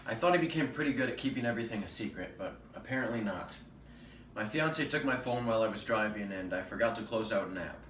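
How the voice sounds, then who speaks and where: A man's voice: fairly low pitch, quick pace, fairly bright tone, lightly acted. A man playing a guilt-ridden young man with a secret addiction, in a rehearsed stage monologue. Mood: guilty confession. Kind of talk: rehearsed readers theater monologue. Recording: roomy distant mic with background noise.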